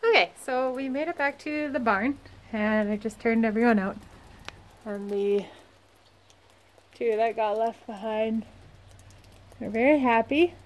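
A woman's voice: on the high side, a little slow, long pauses, rough recording. A young woman in her twenties chatting casually to her phone camera at a barn, talking fondly to her horses between her comments. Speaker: vlogger, horse trainer. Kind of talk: casual vlog chat and voice-over. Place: outdoors at a barn and paddock. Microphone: phone held at arm's length.

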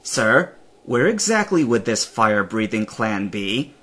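A man reading a story aloud, voicing a calm, stern hooded teenage stranger in his own voice. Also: on the low side, unhurried, slightly rough, heavily acted.

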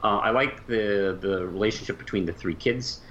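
A man co-hosting a podcast in a casual fan discussion, speaking haltingly and often repeating words as he goes. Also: a bit bright. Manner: halting, trailing off mid-phrase and repeating words. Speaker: podcast co-host. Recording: muffled low-fi mic with faint hiss.

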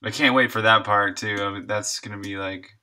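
A man's voice: fairly low pitch, steady tone, fairly quick, bright, forceful tone. An American man in his thirties chatting casually and in a laid-back, slangy way while live-streaming his game development work. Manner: laid-back slangy talk, chuckles easily.

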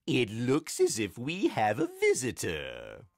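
A gloating storybook villain played by a male reader draws words out with smug menace. The pitch slides widely from high to low. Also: wildly swooping pitch, slow, vocal fry, dry recording, studio quality, full character voice. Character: strange, gloating adult villain in a warehouse.

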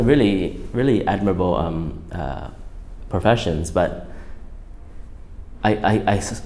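A man in his thirties speaks in a deep, low voice. He answers questions in a relaxed, reflective manner, often pausing on fillers and restarting his sentences. He is seated at a table in a quiet studio, miked with a lapel mic. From Southern California. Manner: thoughtful, hesitant, many fillers and restarts. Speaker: fashion designer, guest.

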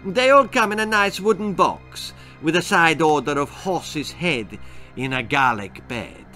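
A man's voice: fairly high pitch, lively intonation, measured pace, sharp tone, soft background music, voice pushed up. A man voicing a shady, genial Italian mafioso pizza man with a sing-song Italian accent, in a children's story. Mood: genial salesman.

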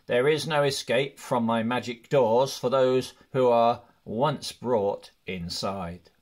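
A man reads as a stern elven king in a fantasy storybook. He speaks slowly and commandingly, in an imperious, measured way at a medium pitch. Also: close to an everyday voice.

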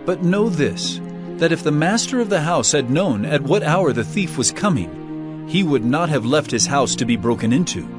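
An actor playing an earnest teacher preaching to his followers in a dramatized scripture reading, with solemn, emphatic, measured oratory. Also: fairly high pitch, lively intonation, music in the background, lightly acted. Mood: earnest teaching.

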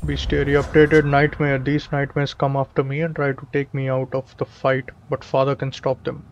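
A man's voice: medium pitch, monotone, normal speed, a little hiss or hum, poor audio. A man with an Indian accent, a native Hindi speaker, doing casual gameplay commentary and reading game text aloud. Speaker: gaming video host.

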